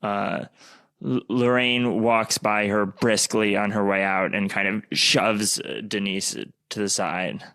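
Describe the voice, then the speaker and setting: A man narrating an improvised radio play, haltingly piecing the scene together aloud as he goes. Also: on the low side, fairly even intonation, sharp tone, dry recording.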